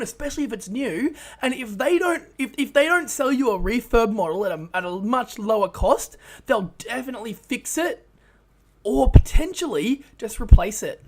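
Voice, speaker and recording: A man co-hosting a podcast in casual chat, excitable and emphatic, repeating words as he gets fired up. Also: extremely high pitch. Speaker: podcast co-host.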